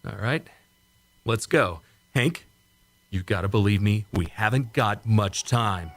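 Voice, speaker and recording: A man acting in an old-time radio drama as a shaken, insistent rocket test pilot, speaking urgently and haltingly. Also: fairly low pitch, no room sound. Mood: pleading.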